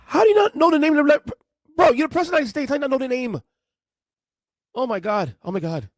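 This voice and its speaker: A man's voice: very high voice, expressive, fast talker, no room sound, studio quality. A man in his forties hosting a podcast, giving rambling, emphatic commentary on video clips and often repeating himself. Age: 40s. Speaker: podcast host.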